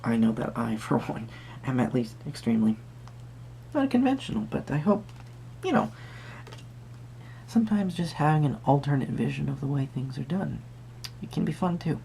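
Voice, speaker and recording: A performer playing a gentle, eccentric tarot card reader in an intimate roleplay, musing and hesitant, with a breathy voice that often drops to a whisper. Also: medium pitch, animated, moderate pace, pauses often, slight background noise. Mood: encouraging, mysterious. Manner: musing, hesitant, softly trailing off.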